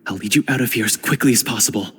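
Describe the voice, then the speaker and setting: An actor playing a steadfast dungeon guard who is secretly a hunter, speaking in a calm, hushed and urgent way. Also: male voice, on the low side, rapid-fire, long reverb tail, a little hiss or hum, lightly acted. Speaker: undercover ally. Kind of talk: game cutscene voice acting.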